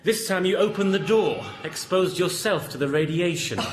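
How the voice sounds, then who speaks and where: Male ghost played by an actor, fairly high voice, calmly taunting, menacing, echoing radio-play sound. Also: normal speed, close to an everyday voice. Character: taunting male ghost, calm, sinister, knowing. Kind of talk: radio drama acting. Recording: echoey, with music behind.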